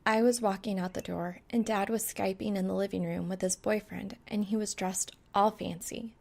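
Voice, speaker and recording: A woman voicing a mortified, dramatic young woman gamer who veers between flat monotone and thin whimpering. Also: medium pitch, moderate pace, gravelly. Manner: thin-voiced, whimpering, dead-eyed monotone.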